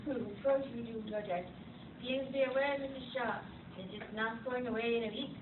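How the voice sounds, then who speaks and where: A woman playing a patient, reassuring nurse in an educational puppet show, speaking in a formal, deliberate, instructive way. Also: on the high side, natural voice. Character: patient, reassuring nurse explaining illness to a family. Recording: tinny, roomy, distant, noisy amateur recording.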